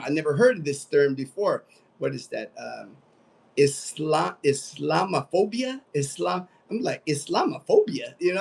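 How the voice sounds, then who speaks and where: A man's voice: fairly high pitch, vocal fry, husky. A man in his late fifties with an American accent, chatting casually and ramblingly as a livestream host, often repeating his phrases. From United States. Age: late 50s. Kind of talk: casual livestream chat, reading out viewer comments.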